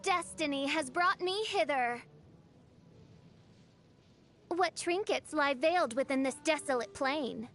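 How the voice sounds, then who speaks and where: Casting: a grandiose young game princess, extremely high pitch, pompous and melodramatic delivery. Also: female voice, takes it slowly, pauses often, fairly bright tone, faint music behind. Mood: proud. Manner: pompous, archaic, melodramatic diction. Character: theatrical young self-styled princess, haughty and grandiose. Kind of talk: anime game character voice lines.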